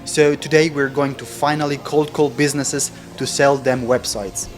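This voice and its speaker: A man with a mid-pitched voice talks in a casual, candid vlog style. He is a non-native English speaker whose stronger languages are Italian and German, with music behind him. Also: level tone, moderate pace. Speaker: vlogger practicing sales cold calls.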